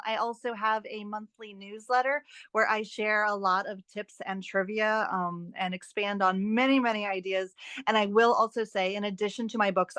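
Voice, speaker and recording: A woman speaking as a guest author in a podcast interview, expressive and enthusiastic in a conversational way. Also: medium pitch, no echo.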